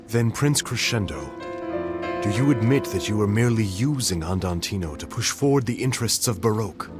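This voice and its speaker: A man playing a cool, probing young nobleman who questions in a deep, precise, formal voice. Game music plays clearly behind him. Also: expressive, fairly quick, close to an everyday voice. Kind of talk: game cutscene voice acting. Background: game soundtrack music.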